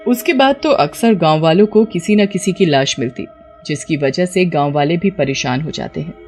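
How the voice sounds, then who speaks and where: A woman's voice: low-pitched, expressive, normal speed, darkish tone, faint music behind. A woman narrating a scripted moral tale as the storyteller.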